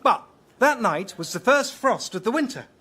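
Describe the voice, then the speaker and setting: An actor playing a patient father gently telling a bedtime fable in a radio sketch. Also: very high voice, lively intonation, normal speed, husky, full character voice. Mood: patient, storytelling. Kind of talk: radio sketch, storybook reading.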